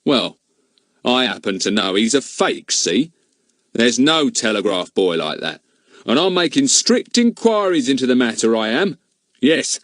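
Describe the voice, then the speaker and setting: A man voicing a pompous, blustering village policeman who talks bossily in rough everyday grammar. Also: lowish voice, fairly bright tone, no echo, studio quality. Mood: bossy.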